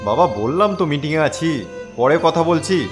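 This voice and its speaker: A man's voice: a little high, animated, a little fast, close to an everyday voice. A man voicing a busy, suspicious and self-important adult son in a comedy cartoon. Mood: impatient. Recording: faint music behind.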